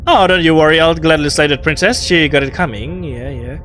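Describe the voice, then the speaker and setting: A man's voice: on the high side, brisk. A man commentating live as he plays a game, musing theatrically with short wry reactions. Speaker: game streamer playing along. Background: faint game music.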